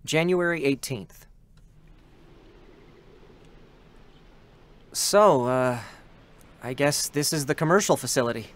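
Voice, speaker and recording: A man's voice: on the high side, moderate pace, natural voice. An actor playing an earnest, wistful young male android soldier in a dramatic reading, chatty and sentimental with boyish hesitations. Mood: curious, playful. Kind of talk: dramatic scripted reading with first-person narration.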